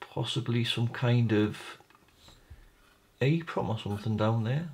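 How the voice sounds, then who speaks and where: A man's voice: medium pitch, unhurried, a little hiss or hum. Man narrating casually, thinking aloud. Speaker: host of a repair video. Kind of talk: casual hands-on narration while working at a bench. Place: indoor workbench.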